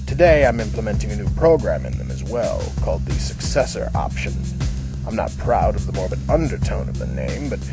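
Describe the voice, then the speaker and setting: A man acts out a proud, composed commander in an audio drama. His voice sits low and moves widely in pitch, measured and sure of itself. Music plays clearly behind him. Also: sing-song. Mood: assured, explanatory. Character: proud, composed male project chief showing off his elite unit. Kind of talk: amateur audio drama acting.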